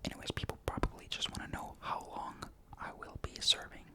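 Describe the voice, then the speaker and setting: A young man from Finland, chatting in a soft ASMR whisper into a desktop mic, rambling with lots of fillers. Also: brisk. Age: young adult. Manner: soft unvoiced whisper throughout; rambling, many fillers and restarts. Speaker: ASMR creator. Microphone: desktop condenser mic.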